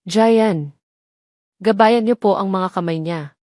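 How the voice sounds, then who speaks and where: A woman's voice: medium pitch, slow, dark tone, no echo, studio quality, lightly acted. A woman voicing an anxious, prayerful mother in an audio romance drama, pleading and earnest.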